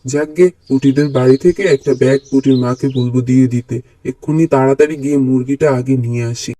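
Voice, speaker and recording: A man voicing a meek, forgetful village husband in a cartoon, talking in a hurried, fretful way. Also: mid-range voice, fairly even intonation, warm tone, dry recording, natural voice. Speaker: cartoon voice actor. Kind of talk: cartoon voice acting.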